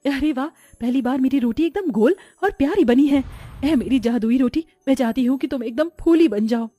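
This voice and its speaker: Woman acting a humble, high-voiced young bride. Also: expressive, normal speed, mellow, dry recording, soft background music. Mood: delighted. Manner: meek, sincere. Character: poor, humble, earnest young daughter-in-law.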